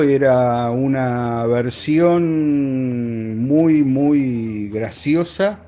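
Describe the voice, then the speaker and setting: Man in an interview, warm soft tone, kinda halting, music under him. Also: medium pitch, dull audio. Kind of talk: reflective interview recollection.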